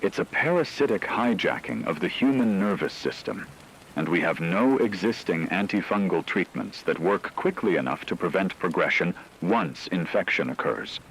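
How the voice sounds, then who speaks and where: Deep-voiced male news anchor played by a man. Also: expressive, gravelly, a little hiss or hum, rough recording. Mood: grave, clinical. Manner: formal, measured, clinical. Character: grave male radio news anchor in zombie apocalypse. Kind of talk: scripted breaking news radio report.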